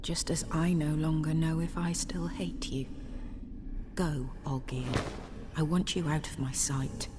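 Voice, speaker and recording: An actress playing a weary, embittered wife of noble birth in a video game, measured and coldly resigned. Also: female voice, low-pitched, fairly even intonation. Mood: cold, bitter. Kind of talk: dramatic video game acting. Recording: reverberant hall, noisy ambience behind.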